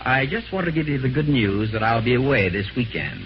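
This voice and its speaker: A man's voice: medium pitch, expressive, close to an everyday voice. A man acting a slyly sardonic radio-drama schemer. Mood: mocking, menacing. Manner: sarcastic, falsely genial, deliberate. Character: scheming, sardonic husband, smoothly insinuating, slyly menacing. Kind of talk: old-time American radio mystery acting. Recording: muffled vintage radio, music behind.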